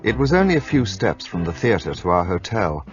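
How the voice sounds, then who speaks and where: An actor narrating in the first person as a gentleman, measured and storytelling. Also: low voice, very animated. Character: English gentleman narrator, looking back. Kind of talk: radio play narration. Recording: lo-fi recording with music behind.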